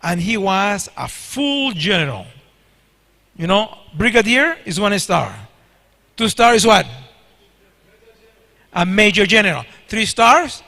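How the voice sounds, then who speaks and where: Older male preacher in his sixties, Spanish his first language; high, bright voice, church hall echo. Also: vocal fry. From Spanish-speaking country. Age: 60s. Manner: storytelling, asks the congregation questions. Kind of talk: sermon. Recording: long hall reverb.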